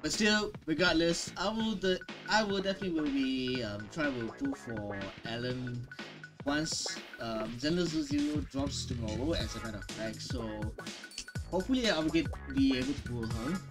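A man's voice: medium pitch, very animated, moderate pace. A young man giving casual, unscripted reaction commentary on a headset mic, with hesitant, repetitive phrasing. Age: young adult. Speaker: reaction video host. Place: small room at home. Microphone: headset microphone. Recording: music behind the voice.

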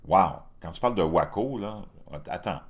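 Male host, 50s, Quebec accent; deep voice with lively swings, indignant commentator. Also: moderate pace. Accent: Quebec French. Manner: animated, indignant, repeats words, frequent pitch jumps. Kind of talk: casual opinion commentary. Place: home studio with virtual backdrop.

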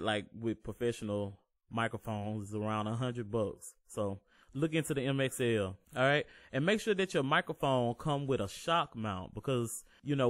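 A deep-voiced man hosting a casual home-recording tutorial as a voice-over, laid-back, reassuring and loose with profanity. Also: dry recording.

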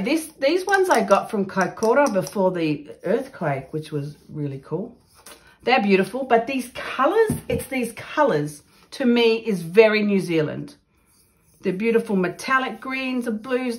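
A woman with an Australian accent, chatting casually and exclaiming over her work as she demonstrates printing in her home art studio. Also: mid-range voice. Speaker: mixed media artist. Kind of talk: casual craft demo chat.

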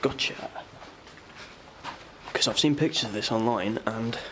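A man's voice: lowish voice, unhurried. A young man narrating casually as he explores abandoned buildings, swearing and thinking aloud. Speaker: vlogger. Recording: handheld camera with background noise.

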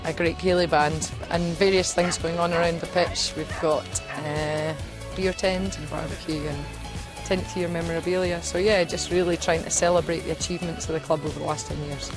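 A woman in her thirties talks casually on an outdoor sports field. Her voice is on the low side, with clear music behind it. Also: steady tone, moderate pace, few pauses. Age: 30s. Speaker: shinty club founder. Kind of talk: casual interview. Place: open grass sports field.

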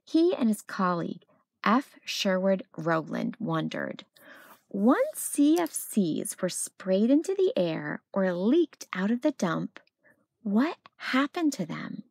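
A woman narrating a children's picture book as a read-aloud storyteller, with expressive delivery. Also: mid-range voice, slow, creaky, no room sound.

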